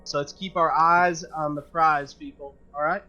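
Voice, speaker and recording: A man's voice: a little high, steady tone, normal speed, sharp tone. A man playing a tabletop game, speaking in a casual, folksy way. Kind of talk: casual in-character table talk. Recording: slightly dull audio, faint music behind.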